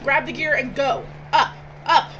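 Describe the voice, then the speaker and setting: Woman acting a bossy, high-voiced crew member. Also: fairly even intonation, a little slow, bright, forceful tone. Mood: urgent, bossy. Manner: curt, commanding. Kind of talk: audio drama acting. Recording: muffled, very noisy ambience, vehicle rumble.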